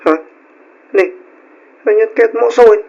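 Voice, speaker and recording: A man's voice: extremely high pitch, little pitch movement, unhurried, gravelly, warm tone, exaggerated voice. A man playing an eager, agreeable young husband in an old-style radio drama. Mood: agreeable, coaxing. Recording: dull, lo-fi old radio recording.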